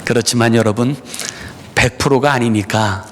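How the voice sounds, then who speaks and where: A man's voice: mid-range voice, moderate pace, fairly bright tone. A middle-aged man preaching a sermon as a pastor, talking in a personal, earnest way to his congregation, with many "uh" fillers. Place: church sanctuary.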